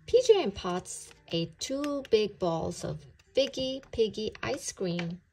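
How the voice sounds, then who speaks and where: A woman narrates a picture book for children. She takes her time over each phrase, with widely varying intonation. Her delivery is expressive and deliberate. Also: mid-range voice, vocal fry, slight background noise. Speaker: storybook reader for children. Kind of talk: read-aloud children's story narration.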